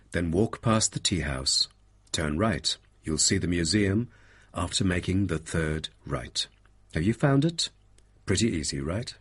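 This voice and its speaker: A man reading a scripted guided presentation as a helpful guide with a husky voice. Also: deep voice, full character voice. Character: helpful male guide presenting a park's facilities. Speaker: voice actor reading listening test parts. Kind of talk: scripted narration.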